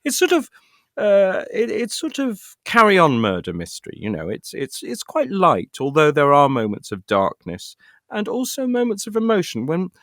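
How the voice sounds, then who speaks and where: A man's voice: high-pitched, wildly swooping pitch, a bit bright, no echo, clean studio sound. A middle-aged man explaining his work conversationally as an interview guest, often repeating words as he searches for the next one. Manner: halting, stammered repetitions as he searches for words. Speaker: interview guest, actor and writer.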